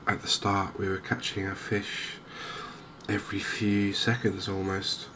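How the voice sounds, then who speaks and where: A man speaks softly in an ASMR gaming style, gentle and unhurried. His voice is on the low side and very even, with little rise or fall. Clear ambient noise runs behind him as he pauses and chats to the viewer. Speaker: gaming video host. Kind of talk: softly spoken ASMR game commentary.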